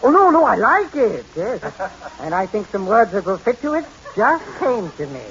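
An actor playing an eager, chatty, wryly self-assured songwriter in an old-time radio sitcom, with an animated comic delivery. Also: male voice, high-pitched, normal speed, full character voice. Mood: wry, encouraging. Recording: muffled old radio recording, clear noise.

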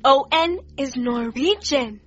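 An actress playing a flippant, slangy, defensive young witness in an audio drama. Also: female voice, sits high, takes it slowly, a bit bright. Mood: sassy, defensive. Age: young adult. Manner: sassy, slangy, sarcastic. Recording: dull lo-fi audio with music underneath.

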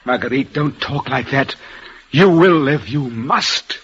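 An anxious, devoted young lover, breathy. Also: male voice, medium pitch, expressive, a little slow. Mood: earnest, pleading. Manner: soft and earnest. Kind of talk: 1930s radio drama acting. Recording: muffled old radio broadcast.

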